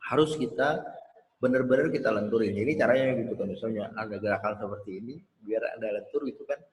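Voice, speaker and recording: A man giving a casual webinar talk on emcee skills, rambling a little and sounding out tricky words as examples. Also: medium pitch. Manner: loose, rambling explanations with spoken pronunciation demos. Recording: roomy with long reverb.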